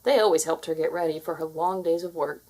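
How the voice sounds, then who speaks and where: A woman's voice: low voice, fast talker, dark tone. A woman narrating a children's fairy tale as a scripted read-aloud.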